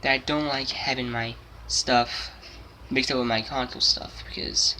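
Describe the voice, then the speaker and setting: A man's voice: mid-range voice, steady tone, moderate pace, sharp tone, a little hiss or hum, rough recording. A seventeen-year-old young man talking casually to his channel, rambling and repeating himself with many restarts. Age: 17, about to turn 18. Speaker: gaming channel host. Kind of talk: casual unscripted monologue.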